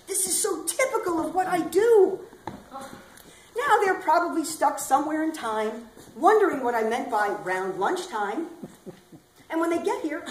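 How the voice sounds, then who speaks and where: A woman acting as an eccentric, loud-voiced bag lady on a small theater stage, talking brashly and rambling straight at her listeners. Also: very high voice, exaggerated voice. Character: eccentric loud middle-aged bag lady waiting for aliens. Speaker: stage actor. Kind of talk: theatrical comic monologue. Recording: echoey hall, faint ambient noise.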